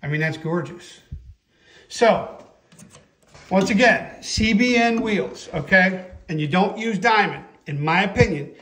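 A man giving a casual hands-on workshop tutorial in a folksy, emphatic, excitable way, often checking in with the listener. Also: high voice, measured pace. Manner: folksy, emphatic, repeatedly checks with "okay?" and "all right?". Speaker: host, chainsaw sharpening instructor. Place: indoor workshop.

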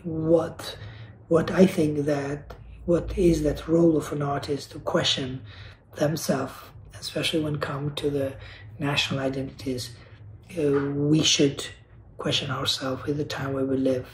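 A man's voice: on the high side, little pitch movement, measured pace, echoey room, a little hiss or hum, low-quality recording. A man from Kosovo, speaking as an artist on a panel over a video call, earnest and searching, often restarting and repeating words. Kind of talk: reflective panel talk.

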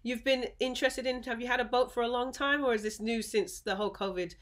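A woman hosting an interview over a video call from home, upbeat and quick to laugh, with short encouraging replies. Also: a little high, steady tone. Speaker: interview host. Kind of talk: casual interview chat. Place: home room.

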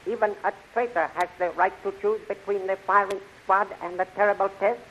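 An actress playing a solemn high priestess in a 1930s radio serial, formal and deliberate. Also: female voice, steady tone, lo-fi sound, close to an everyday voice. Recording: hissy 1930s radio recording.

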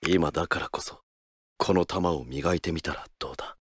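A man's voice: low-pitched, moderate pace, over loud music, lightly acted. A man playing an earnest, intense young male baseball player in an anime-style game. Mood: quiet, earnest.

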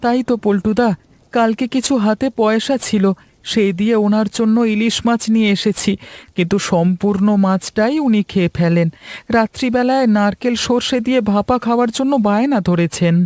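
A woman's voice: mid-range voice, a little fast, a little mellow, dry recording, close to an everyday voice. A woman voicing an earnest, polite and hardworking poor village boy in a cartoon story. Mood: worried.

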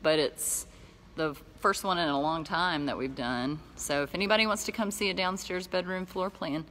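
A woman's voice: lowish voice, normal speed, a bit bright, slight background noise, poor audio. A middle-aged woman giving a chatty, laughing walking tour of tiny homes she builds. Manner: chatty, laughs easily, guiding viewers around. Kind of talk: casual walking tour chat. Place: outdoor building lot and inside tiny houses.

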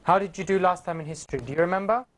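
A man's voice: high voice, very fast, a bit bright. A man in his thirties hosting a TV quiz show in a studio, friendly and upbeat, on a lapel mic.

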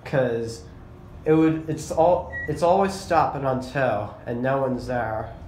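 A young man narrates slowly into his handheld phone while riding a mall elevator, admiring the buttons and remarking on what he sees. The cab is echoey and boxy. A faint ambient hum sits behind his voice. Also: medium pitch, a little mellow. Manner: enthusiastic, slow and remarks to himself. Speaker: elevator video channel host. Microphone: handheld phone camera.

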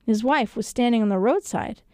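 A woman storyteller reading children's tales in an expressive audiobook narration style. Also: on the high side, fairly quick, no room sound, studio quality. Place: radio studio.

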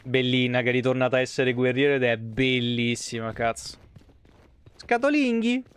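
A man in his thirties with a clear, resonant voice of medium pitch and a Roman Italian accent. He streams a game live, commenting casually in slangy language. He drifts into rambling asides as he chats with his viewers. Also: expressive, normal speed.

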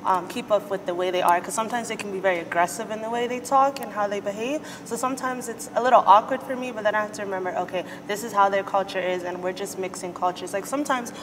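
A young woman from the United States answering interview questions in a relaxed way, often pausing with "um". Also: medium pitch, long reverb tail, background noise. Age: young adult. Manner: relaxed, filling pauses with "um". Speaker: student guest. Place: indoor studio set. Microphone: lapel mic.

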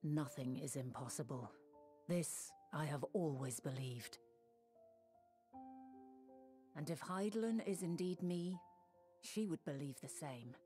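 A woman acting a calm, resolute young noble sage in a fantasy video game, with measured, archaic and formal diction. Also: low voice, faint music behind.

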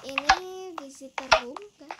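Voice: high voice, steady tone, unhurried. A child giving casual commentary on a game house tour, recorded on a phone. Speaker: gaming vlog host. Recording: very noisy phone recording with clicks.

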